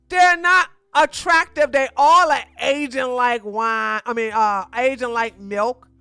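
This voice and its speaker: A woman streamer talks close to the mic in a fairly high, blunt voice, commenting on a video. Her delivery is emphatic and outspoken, and it sometimes tips into loud, indignant bursts. The sound is dry, with faint music playing underneath. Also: dry recording. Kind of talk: casual reaction commentary.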